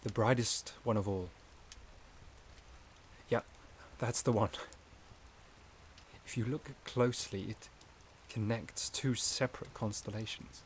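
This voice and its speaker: A man playing a gentle, shy, caring forest companion in a soft-spoken ASMR roleplay, with a hesitant, slightly stammering delivery. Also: on the low side, fairly even intonation, slow pace, pauses often, slight background noise. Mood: quietly enchanted.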